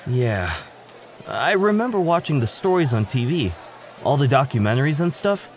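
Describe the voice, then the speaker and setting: A man's voice: mid-range voice, big pitch swings, moderate pace, a little hiss or hum, lightly acted. A man playing an eager, awkward male student in a casual, chatty audio drama style. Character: excitable, awkward male student, lovelorn new kid.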